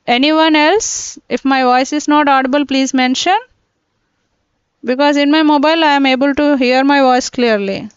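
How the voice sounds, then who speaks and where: A high-pitched woman teaches an online class step by step, repeating points and checking in with students. Her voice is dry and dull, like lo-fi laptop audio. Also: steady tone, normal speed, dry recording. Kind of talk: live online class lecture. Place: indoors, teaching from a computer. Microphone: laptop mic.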